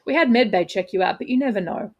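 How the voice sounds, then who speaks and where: A woman voicing a cheerful, cheeky spaceship rescuer of about thirty, warm and breezy, in a story reading. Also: mid-range voice, animated, fast talker, muffled recording.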